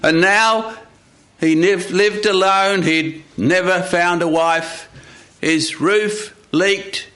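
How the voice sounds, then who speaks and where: A man telling a story to a live audience, with deliberate, dramatic phrasing and pauses. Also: high-pitched, steady tone, sharp tone, clean tone. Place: radio studio with live audience.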